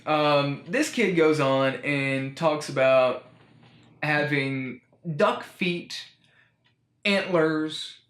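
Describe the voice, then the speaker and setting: A man's voice: a little high, a bit bright. A man hosting a comedic storytime show, giving a rambling, wry, mock-serious monologue. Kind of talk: comic monologue reviewing a children's book. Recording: roomy echo, music underneath.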